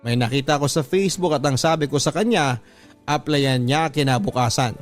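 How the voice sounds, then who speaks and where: A man hosting a radio story show, reading listener letters aloud in an earnest, measured way. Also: medium pitch. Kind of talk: scripted narration of letters. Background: faint background music.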